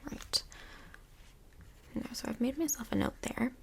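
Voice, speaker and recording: Woman doing a soft asmr couples counsellor lady, slightly high breathy voice, tons of fry, gentle and chatty. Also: slight background noise, exaggerated voice. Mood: calm, reassuring. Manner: gentle, measured therapist talk with reflective pauses. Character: calm, chatty female couples counsellor working in Hell. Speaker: ASMR role-play performer. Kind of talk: soft-spoken ASMR role play.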